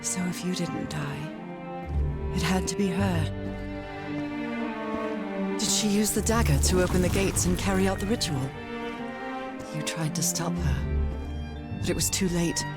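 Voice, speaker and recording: A woman's voice: on the low side, unhurried, long pauses, close to an everyday voice. A woman playing a determined, emotional 1930s explorer in an adventure game, musing aloud expressively and questioning herself. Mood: grave deduction. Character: determined, distraught 1930s woman explorer searching for missing husband. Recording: loud music over the voice. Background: dramatic game music.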